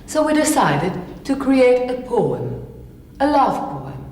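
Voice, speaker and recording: A woman's voice: on the high side, sing-song, slow, a little mellow. A woman whose first language is Italian, an actress explaining her work in plain, slightly broken English with an Italian accent. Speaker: stage actress. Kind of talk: work demonstration lecture. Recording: echoey hall with faint noise.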